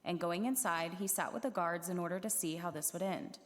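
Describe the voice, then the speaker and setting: A woman's voice: lowish voice, brisk. A woman narrating a passage of scripture for a dramatic reading in church. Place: church service. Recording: long reverb of a large room.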